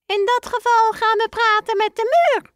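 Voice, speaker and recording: A woman voicing a fussy father mouse in a children's storybook, squeaking between phrases. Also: normal speed, no echo, studio quality. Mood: earnest.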